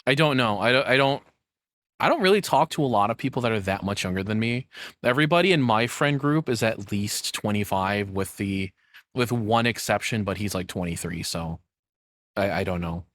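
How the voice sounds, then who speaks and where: Male reaction streamer, lowish voice, dry close sound, candid and self-deprecating, thinking out loud casually. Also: animated, moderate pace, no room sound. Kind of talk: casual rambling commentary.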